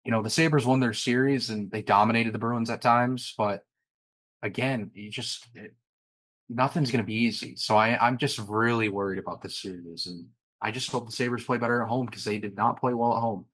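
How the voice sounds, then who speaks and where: A man's voice: mid-range voice, normal speed, fairly bright tone, dry recording, low-quality recording. A man co-hosting a sports podcast, speaking plainly and matter-of-factly. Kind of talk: casual sports chat.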